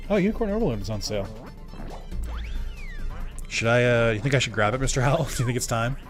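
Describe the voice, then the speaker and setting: A young man streaming a game live, chatting loosely with many fillers while his voice starts to go hoarse. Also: medium pitch, big pitch swings, quick pace, soft background music. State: voice going hoarse. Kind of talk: casual live-stream chat while gaming.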